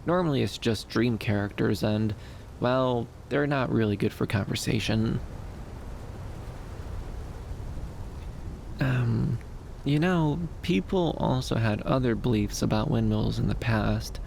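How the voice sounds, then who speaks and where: Gentle, shy, gravelly-edged young dream wanderer, played by a man. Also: medium pitch, unhurried, slight background noise. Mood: shy, apologetic. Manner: soft-spoken, hesitant, soothing, with lilting upturns. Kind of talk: ASMR roleplay.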